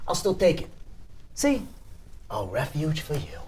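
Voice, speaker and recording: Brash, commanding gangster boss played by a man, higher-pitched and animated, blunt, for a TV script reading. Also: measured pace, slight background noise. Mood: brash, dismissive. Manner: bossy, blunt.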